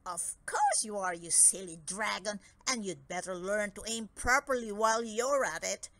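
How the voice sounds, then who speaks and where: A woman's voice: medium pitch, moderate pace, a bit bright, dry recording, natural voice. A woman voicing a bossy, vengeful male gnome in a storybook, growling and scolding as he gives commands. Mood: impatient, commanding.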